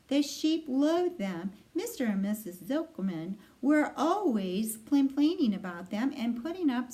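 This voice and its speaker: A woman reading a children's storybook aloud in expressive narration. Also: mid-range voice, low-quality recording. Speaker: storybook reader.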